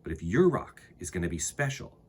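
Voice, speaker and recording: A man with a husky voice reading aloud to children as a storytime host, expressively, with dramatic emphasis and pauses. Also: deep voice, sing-song. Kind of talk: animated picture-book read-aloud for children.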